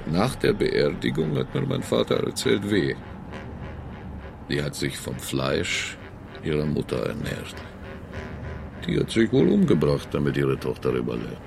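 An actor playing a menacing middle-aged crime boss in a radio drama, speaking deliberately and sardonically with a gravelly voice. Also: male voice, sits low, huge pitch swings, noisy background, heavily acted. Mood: grim reminiscing. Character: menacing middle-aged Russian male crime boss, chillingly matter-of-fact.

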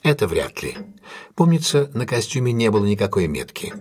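An actor playing a shrewd, ironic gentleman detective in an audio drama, with a husky, measured, commanding delivery. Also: lowish voice, very animated. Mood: confident. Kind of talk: Russian audio drama acting.

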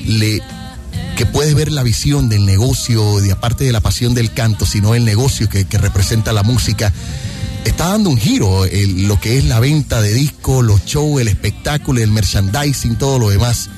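A man's voice: medium pitch, normal speed. A man hosting a radio interview, chatty and affirming, asking long winding questions. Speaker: radio host. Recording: radio broadcast with music behind.

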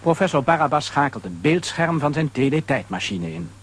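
A man's voice: on the high side, very animated, brisk, slight background noise. A man narrating a scripted audio drama for children as its storyteller.

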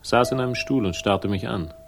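An actor playing a laconic, hard-boiled investigator in a German radio crime drama, narrating and talking with deadpan irony. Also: male voice, on the low side, music in the background, natural voice. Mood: brooding. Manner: deadpan, ironic, unhurried.

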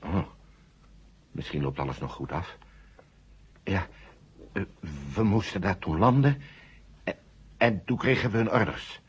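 An actor in a 1970 science-fiction radio drama playing a dazed, anxious astronaut coming out of hypnosis, halting and groping for words. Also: male voice, low voice, very animated, breathy edge, lo-fi sound, exaggerated voice. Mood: confused, anxious.

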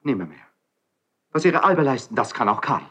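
A man's voice: mid-range voice, very animated, brisk, fairly bright tone, breathy edge. A man playing an ardent, hot-blooded young prince in classical verse radio drama, declaiming with passion. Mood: urgent, impassioned. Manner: impassioned, declamatory.

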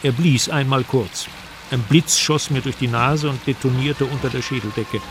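A man's voice: mid-range voice, moderate pace, background noise. A man reading scripted first-person travel narration for a radio drama.

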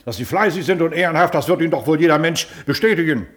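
An actor in a German radio play, playing a pompous, overbearing district official who talks in an officious, blustering, self-important way. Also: male voice, on the high side, fast, fairly bright tone, natural voice. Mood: condescending, measured. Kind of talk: classic German radio drama acting.

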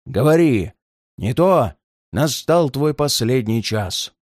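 A man voicing a cruel, gloating red-haired giant who threatens an old man in a slow, heavy delivery. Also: mid-range voice, lively intonation, a little mellow, no echo, clean studio sound. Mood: menacing.

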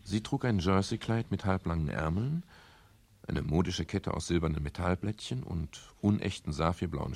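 An actor playing a middle-aged private detective in a radio crime drama, wry, dry and expressive in dialogue and narration. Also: male voice, low voice, creaky, slightly rough, exaggerated voice. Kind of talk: 1970s German radio crime drama acting and first-person narration.

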